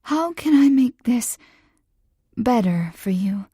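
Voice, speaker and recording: A woman voicing a frank, aroused adult man who speaks in a slow, breathy whisper in a romance audiobook. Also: fairly high pitch, animated, mellow, no room sound, studio quality, heavily acted. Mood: husky, intimate.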